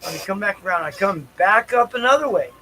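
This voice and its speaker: A man with a somewhat high-pitched voice talks off the cuff as a livestream host. He rambles loosely, half to himself, and circles back over his own phrases. Noticeable noise runs behind him throughout. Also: lively intonation, fairly quick, pushed and bright. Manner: repeats phrases for emphasis. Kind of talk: rambling unscripted livestream talk.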